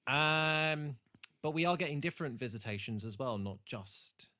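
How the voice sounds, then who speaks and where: A man co-hosting a casual TV rewatch podcast, halting as he thinks aloud, with some swearing. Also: mid-range voice, lively intonation, bright, forceful tone. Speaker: podcast co-host.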